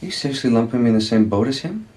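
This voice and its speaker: A man's voice: medium pitch, expressive, rapid-fire, dark tone, slight background noise, close to an everyday voice. An actor playing an opinionated, prickly man who questions and challenges people with sarcasm, in a naturalistic drama. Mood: wounded, accusing.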